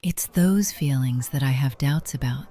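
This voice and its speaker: An affectionate mature love interest in a visual novel, teasing yet cautious. Her voice is extremely low for a woman and soft-edged, often breathy and whispery, with slow, intimate phrasing. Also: soft background music. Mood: tender, cautious. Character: Nora, affectionate older aunt, teasing yet cautious lover.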